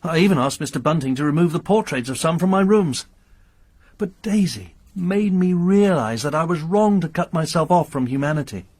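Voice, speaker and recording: An actor playing a mysterious, genteel lodger who speaks softly and formally in a radio drama. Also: male voice, on the high side, animated, moderate pace, dry recording. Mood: solemn. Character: mysterious genteel male lodger, quiet and grave.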